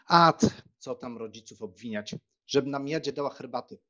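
A man acting a chatty, good-natured young country gentleman in an audiobook drama, with a casual, jovial manner. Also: mid-range voice, moderate pace, close to an everyday voice. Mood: breezy, teasing. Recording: dull, lo-fi sound.

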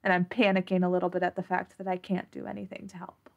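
A woman's voice: on the low side, flat delivery, quick pace, creaky, lo-fi sound. A woman playing a tabletop game, casually describing what her character does.

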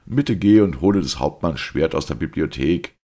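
A man voicing a calm investigator who gives curt, smooth orders. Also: extremely low pitch, moderate pace. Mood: cool.

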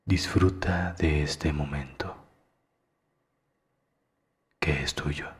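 A man narrating a guided meditation in a hushed, soothing, deliberate way with long pauses. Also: a bass voice.

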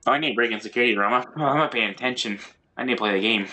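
Bright-voiced man chatting while gaming. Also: mid-range voice, very fast, slight background noise. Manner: rambling, talks while playing. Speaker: gamer. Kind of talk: casual gaming chat.

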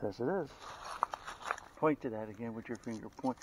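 A man's voice: mid-range voice, moderate pace. A middle-aged man talking casually to viewers outdoors in a steep forest as he drags a log, narrating as he works. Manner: chatty, narrates to viewers while hauling. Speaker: vlogger filming trail work. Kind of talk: casual chat while working. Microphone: body-worn action camera. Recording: outdoor camera mic with loud noise.

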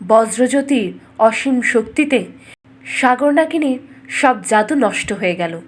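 A woman storyteller giving a scripted, dramatic fairytale narration in measured, expressive phrases. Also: on the high side, husky.

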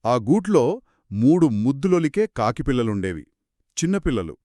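A man narrates a story for children as if chatting with a partner. His medium-pitched voice moves up and down with great animation and expressive exclamations. The recording is dry, with no room sound. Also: moderate pace, no room sound. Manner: expressive, reacts with exclamations and short questions. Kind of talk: conversational children's storytelling dialogue.

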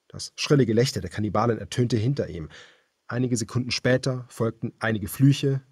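A man narrating a thriller audiobook in a grave, measured, dramatic storytelling manner. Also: mid-range voice, breathy edge, dry recording, studio quality.

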